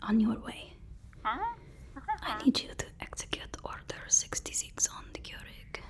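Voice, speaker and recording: Woman doing asmr whisper roleplay, fussy servile villain's assistant, kinda high voice, repeats herself a lot. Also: sing-song. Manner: deferential, halting, repeats phrases. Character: obsequious, fussy personal assistant to a supervillain. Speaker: ASMR performer.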